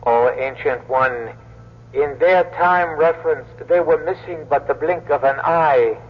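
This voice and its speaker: Alien examiner for a radio play: high-pitched, clinical, icily formal, on a dull vintage recording. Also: male voice, a little slow. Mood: deferential. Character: cold, formal alien male examiner of captured humans. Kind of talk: old-time radio drama acting. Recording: muffled lo-fi old radio recording.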